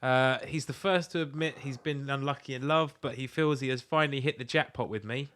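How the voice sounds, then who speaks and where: A man's voice: medium pitch, a little fast, a bit bright. A man co-hosting a podcast in casual comic chat, blunt and sweary with an incredulous way of talking. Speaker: podcast co-host. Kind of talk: casual comic chat, reading out letters and reacting.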